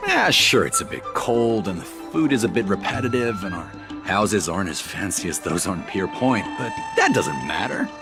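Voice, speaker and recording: An eager, courteous envoy from a faraway world in a video game. His fairly low voice swings expressively with earnest pride, over clearly audible music. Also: animated, moderate pace, hardly any pauses. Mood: proud, eager. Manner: earnest, eager, formally polite. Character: earnest, proud male envoy from a distant planet. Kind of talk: scripted video game dialogue.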